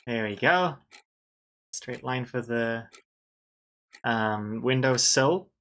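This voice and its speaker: A man's voice: medium pitch, drawn-out words, fairly bright tone. A man in his thirties, a livestreaming artist talking casually to chat while he draws, chatty and self-mocking. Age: 30s. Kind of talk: casual commentary while drawing on stream.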